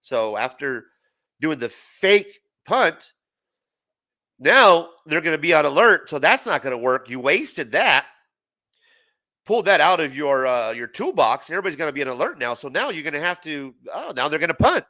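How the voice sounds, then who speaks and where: A man's voice: on the high side, big pitch swings, normal speed, sharp tone, dry recording. A man in his forties, congested from a cold, giving loose, profane, casual play-by-play commentary on a live stream. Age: 40s. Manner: loose, profane, chatty, laughs at his own jokes. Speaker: sports watch-party streamer.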